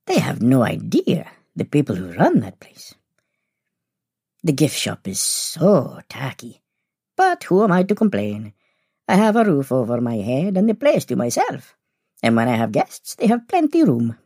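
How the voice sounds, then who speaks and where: A woman playing an old, chatty, genteel male vampire in an audio story, husky and creaky, with arch, exclamatory, deliberate phrasing. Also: rumbling low, huge pitch swings, mellow, no room sound, clean studio sound, exaggerated voice. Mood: chatty, wry. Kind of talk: acted audio story dialogue.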